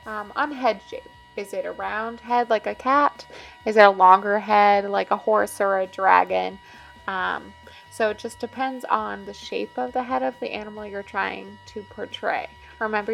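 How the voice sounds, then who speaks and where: A woman's voice: medium pitch, vocal fry, low-quality recording. A woman working as an art teacher, casually explaining a drawing demonstration step by step as she works.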